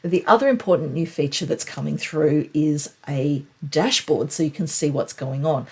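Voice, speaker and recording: A woman's voice: sits low, darkish tone, husky. A woman presenting a conversational, explanatory recap of tech news, leaning on key points for emphasis.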